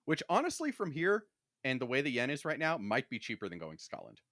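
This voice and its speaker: Lively, bright-voiced man bantering on a podcast. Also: medium pitch, quick pace, no room sound, clean studio sound. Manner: wry, joking, sweary. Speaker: podcast host. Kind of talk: casual banter.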